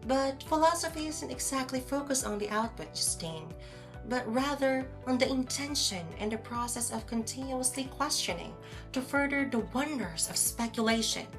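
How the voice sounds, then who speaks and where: A woman playing a calm know-it-all philosopher who lectures a friend in formal, measured wording in a podcast audio drama. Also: high voice, over music. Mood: earnest, measured.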